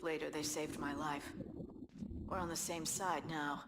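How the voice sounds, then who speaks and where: An actress playing a cold, haunted soldier who is no longer quite human, in a brooding, detached video game monologue. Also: female voice, low voice, fairly even intonation, unhurried. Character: cold, haunted woman soldier turned more than human. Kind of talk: scripted cinematic game monologue. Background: loud dramatic music.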